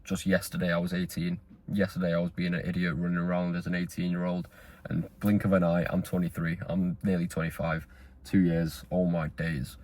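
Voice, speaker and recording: Earnest young man, very deep voice. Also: completely flat delivery, fairly quick, darkish tone. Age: 20s. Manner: rambling, earnest, with fillers and restarts. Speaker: vlogger. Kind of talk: motivational talking-head monologue.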